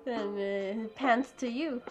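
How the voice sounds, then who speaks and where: A giggly teenage girl co-hosting a casual podcast. Her voice sits fairly high and she talks slowly, halting between words, with music clearly playing behind her. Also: dull audio. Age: teens. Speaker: podcast co-host. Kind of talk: casual chat.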